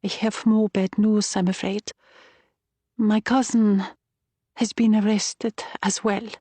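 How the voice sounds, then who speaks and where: Woman voicing an anxious, pinched-voiced young resistance courier, pitched up. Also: flat delivery, slow pace, mellow, no echo. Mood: anxious, grim. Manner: pleading, urgent.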